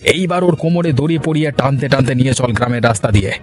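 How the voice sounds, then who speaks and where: A man's voice: mid-range voice, quick pace, natural voice. A man playing a haughty village landlord in a cartoon, commanding and scornful in his dramatic dialogue. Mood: stern. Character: stern, haughty village landlord, quick to condemn. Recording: music behind the voice.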